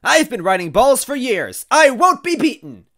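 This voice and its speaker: A proud cartoon villainess played by a man, pitched up and forceful. She speaks in defiant, brash declarations, like a determined storybook rival. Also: big pitch swings, moderate pace, full character voice.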